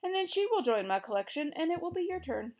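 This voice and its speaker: A woman voicing a blustering, excitable male gnome king who shouts and panics in a storybook reading. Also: very high voice, fast talker, muffled recording, pitched above the performer's own voice, heavily acted. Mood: gloating.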